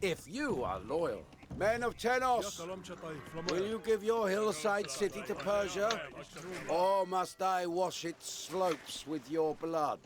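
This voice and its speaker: An actor playing a proud Persian commander in a historical game, grandiose and declamatory, menacing yet courteous. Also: male voice, sits high, expressive, slow. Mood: threatening courtesy. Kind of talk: dramatic historical game voice acting.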